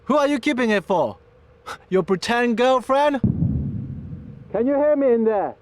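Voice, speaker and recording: An actor playing a sardonic, world-weary gang thug in a game cutscene. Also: male voice, extremely high pitch, lively intonation, measured pace, no room sound. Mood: mocking. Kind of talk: dramatic game cutscene acting.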